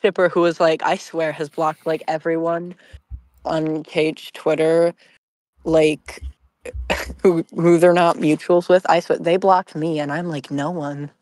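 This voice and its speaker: Halting, dry-recorded casual podcast panelist. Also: mid-range voice, flat delivery, darkish tone, dry recording. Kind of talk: casual group chat.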